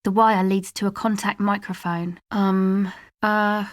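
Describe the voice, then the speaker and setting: A laid-back young woman from a video game, played by an actress, with a mid-pitched voice. She talks in casual slang with a sardonic, deadpan delivery. The sound is very dry, with no room around it. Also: a little slow, no room sound, close to an everyday voice. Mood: matter-of-fact. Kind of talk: video game character dialogue.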